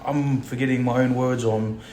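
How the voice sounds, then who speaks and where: A man, a rapper talking in a relaxed, slangy podcast chat. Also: mid-range voice, fairly quick, over a noisy crowd. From Australia. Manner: laid-back, slangy, agrees often. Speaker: rapper and producer, podcast guest. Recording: roomy studio echo.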